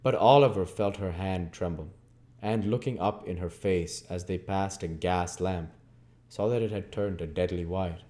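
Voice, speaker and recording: A man reading a story aloud as channel host, in expressive narration with deliberate, measured phrasing. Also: sits low. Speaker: audiobook reader and channel host.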